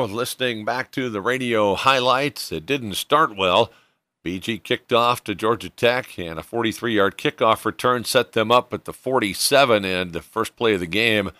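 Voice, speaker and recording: A man's voice: on the low side, pushed and bright, no room sound. An American man hosting a sports podcast, narrating a scripted football recap in a broadcast style. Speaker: sports podcast host and radio play-by-play announcer.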